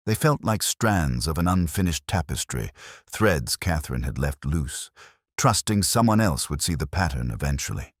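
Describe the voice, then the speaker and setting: A man narrating a scripted first-person detective story in a measured, brooding, literary manner. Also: extremely low pitch, animated, no room sound, clean studio sound.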